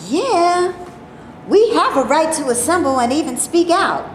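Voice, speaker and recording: A woman playing a weary, sharp-tongued actress with a folksy, emphatic delivery in a staged play reading. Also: high-pitched, animated, a little slow. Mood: weary, skeptical. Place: indoor room, live staged reading. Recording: echoey room, hissy amateur video.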